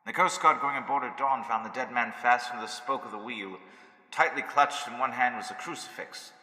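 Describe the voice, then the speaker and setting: An actor playing a solemn newspaper correspondent, narrating a radio horror drama in a theatrical, measured, ominous way. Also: male voice, medium pitch, sharp tone. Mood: ominous, dramatic. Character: solemn male newspaper correspondent reporting eerie events. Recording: echoey hall-like reverb.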